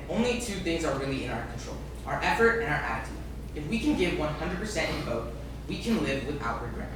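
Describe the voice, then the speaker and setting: A young student speaker delivering an earnest, emphatic motivational speech in slogan-like phrases. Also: medium pitch. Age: young adult. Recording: very echoey hall, distant mic, noisy.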